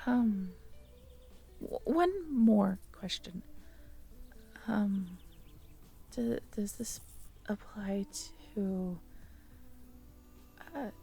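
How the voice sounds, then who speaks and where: A young woman in an intimate audio roleplay, talking softly to the listener, hesitant and stammering. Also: mid-range voice, extremely slow, vocal fry, pauses often, husky, faint music behind. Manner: hesitant, stammering, soft-spoken. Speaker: audio drama performer speaking to the listener.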